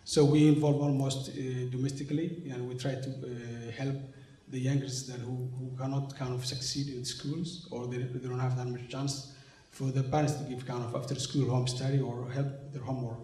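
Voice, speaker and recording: A man's voice: mid-range voice, flat delivery, normal speed, hardly any pauses, warm tone, slight background noise. A man in his forties from Somalia, speaking English as an in an interview, hesitant with many fillers and restarts. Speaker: interviewee, organization representative. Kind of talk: conversational interview. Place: conference venue, indoors. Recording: echoey room sound.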